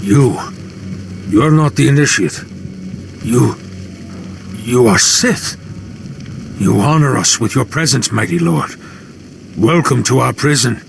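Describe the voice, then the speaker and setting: A man's voice: mid-range voice, slow pace, creaky, pauses often, background noise. A man voicing a fanatical, violent slave cult leader in a video game, with reverent, grandiose declamation. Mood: fervent, reverent. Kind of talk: dramatic video game acting.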